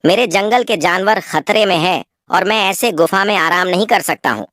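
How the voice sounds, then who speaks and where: A caring male lion king of the jungle, voiced by a woman for an animal cartoon. His voice is low and he speaks with earnest, stately dignity. The recording is dry and close. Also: normal speed, fairly bright tone, dry recording, natural voice. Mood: resolute. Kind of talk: children's animal moral-story cartoon.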